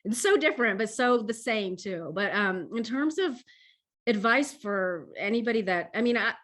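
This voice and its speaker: A woman's voice: a little high, expressive, normal speed, a bit bright. A woman author on a panel, talking earnestly and often restarting her sentences. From Vietnamese-American. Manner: earnest, self-interrupting, restarts. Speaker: author guest. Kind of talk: panel discussion.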